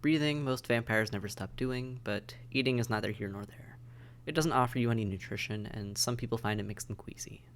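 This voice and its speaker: A man's voice: mid-range voice, a little fast, a little hiss or hum, natural voice. A man playing a weary vampire intake officer in an audio roleplay, matter-of-fact and bureaucratic, with dry hesitation, speaking straight to the listener. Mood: clinical, matter-of-fact. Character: weary, businesslike male vampire intake officer mentoring newcomers. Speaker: medical examiner and caseworker.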